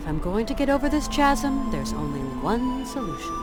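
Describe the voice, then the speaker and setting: A woman's voice: on the high side, big pitch swings, normal speed, over loud music. An actress playing a determined, practical young woman adventurer in a video game, speaking expressively. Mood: musing. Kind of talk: video game character acting.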